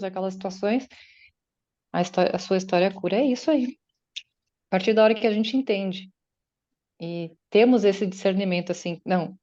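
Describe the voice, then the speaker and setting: Woman, guest speaker at an online faith meeting: chatty, voices her listeners' doubts, mellow tone, dull call sound. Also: mid-range voice. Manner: conversational, acts out listeners' doubts in quotes. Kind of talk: faith-based motivational talk. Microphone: video call.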